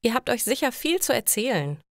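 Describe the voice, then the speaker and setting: A woman's voice: fairly high pitch, big pitch swings, fairly quick, dry recording, clean studio sound, pitched above the performer's own voice. A woman voicing a direct, helpful young woman in a story for language learners. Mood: annoyed. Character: young woman neighbour, direct, helpful, fond of crime shows. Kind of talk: German learner story dialogue.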